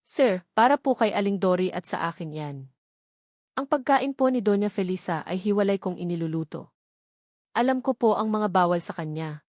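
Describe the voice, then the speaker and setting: A woman's voice: mid-range voice, expressive, moderate pace, a little mellow, dry recording, clean studio sound, lightly acted. A woman voicing a flustered, polite young maid. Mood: defensive. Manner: polite, using po and sir, flustered and defensive. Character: young female housemaid, nervous but spirited.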